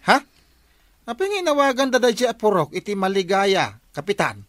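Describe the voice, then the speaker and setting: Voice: mid-range voice, very animated, moderate pace, a bit bright, dry recording, studio quality. A performer playing an inquisitive, scheming village watch volunteer in a comedy radio drama, questioning and coaxing with a northern Philippine Ilocano accent.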